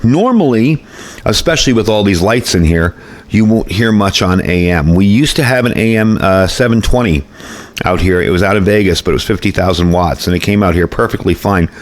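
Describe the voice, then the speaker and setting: A man's voice: fairly low pitch, fairly quick. A man giving a casual hands-on product demonstration in a folksy, conversational way, often repeating himself. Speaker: radio product reviewer.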